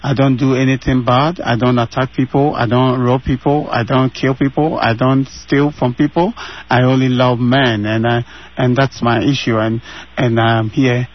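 A man's voice: mid-range voice, little pitch movement, normal speed. A Ghanaian man in his thirties answers interview questions with earnest conviction, hammering his points home by repeating phrases. His voice sits mid-range for a man. The sound is a little dull, as if it comes over a remote line from his home. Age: 30s.

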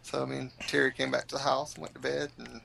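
Male podcast co-host, mid pitch with a gravelly edge, teasing casual talker. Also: level tone, fast talker, sharp tone, a little hiss or hum. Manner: teasing, chatty storyteller. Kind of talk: casual chat.

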